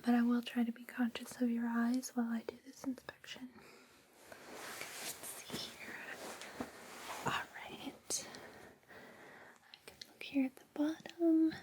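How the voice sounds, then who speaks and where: Female ASMR roleplay attendant: breathy whisper, soothing and reassuring, even intonation, faint noise and clicks behind. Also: high voice. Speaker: ASMR host playing a space suit fitting attendant.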